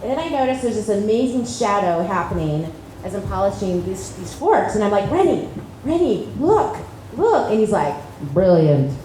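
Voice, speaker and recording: A middle-aged actress recounting set stories on a convention panel, eager and animated. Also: female voice, medium pitch, moderate pace. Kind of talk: anecdotal panel talk. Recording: echoey hall with noisy house audio.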